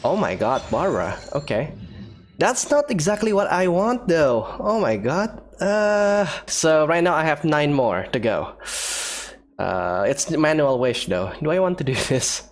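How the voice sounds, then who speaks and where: A man's voice: a little high, big pitch swings, a little slow. A man giving casual commentary as the host of a gameplay video.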